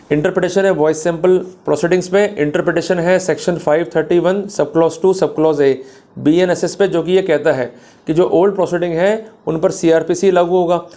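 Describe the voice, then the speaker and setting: A man's voice: on the high side, mellow. A man with an Indian accent giving an explanatory law lecture in an emphatic, didactic manner, mixing in English terms.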